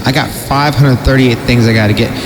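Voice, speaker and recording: A man's voice: mid-range voice, fairly even intonation, fairly quick, background noise. A man hosting a podcast, reading horror stories in a theatrical way and breaking off for jokey asides. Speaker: podcast host. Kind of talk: dramatic horror story reading with joking asides.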